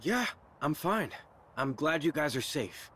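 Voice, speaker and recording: An actor playing a rugged, gruffly good-hearted young mountain man in a naturalistic video game scene. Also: on the high side, very animated, a little slow, a bit bright, a little hiss or hum. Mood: relieved.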